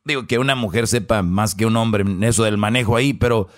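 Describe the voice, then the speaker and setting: A man's voice: fairly low pitch, quick pace. A man with a Mexican accent co-hosting a radio show, making laid-back, dry remarks. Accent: Mexican Spanish. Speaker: radio co-host.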